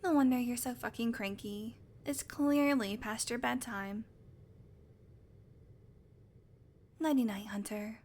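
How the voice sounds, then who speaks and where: A woman playing a sardonic, teasing, predatory vampire with a soft spot, in an intimate, sweary audio roleplay monologue that often drops to a murmur. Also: a little high, steady tone, normal speed, natural voice. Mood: teasing, exasperated.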